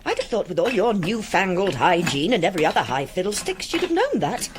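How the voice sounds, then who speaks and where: Bossy, swooping, sharp-tongued older woman. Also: fairly low pitch, big pitch swings, background noise. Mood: fussing, disapproving. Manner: scolding, candid, brisk. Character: bossy, disapproving older farming sister-in-law, sharp-tongued. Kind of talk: BBC radio drama acting.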